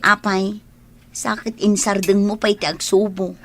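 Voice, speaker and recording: An actress playing a gentle, patient, protective elder sister in an Ilocano radio drama, speaking in an emotive, coaxing way. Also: female voice, mid-range voice, flat delivery, unhurried, a little hiss or hum, close to an everyday voice. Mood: worried, pleading.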